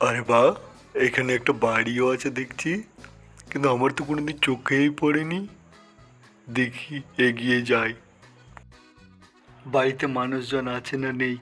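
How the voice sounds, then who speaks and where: A man's voice: mid-range voice, fairly bright tone, natural voice. A man voicing a gullible, lovesick tiger in a children's folktale cartoon, exclaiming theatrically. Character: lovesick, gullible male tiger looking for a bride.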